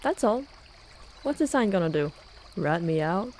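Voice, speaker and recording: A woman's voice: lowish voice, very animated, measured pace, a little mellow, slight background noise. A young woman acting a playful, teasing student friend in a casual, intimate ASMR roleplay. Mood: playfully teasing. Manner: casual, teasing, with sighs and chuckles. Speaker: voice actor. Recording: running and trickling water behind voice. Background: hot spring water ambience.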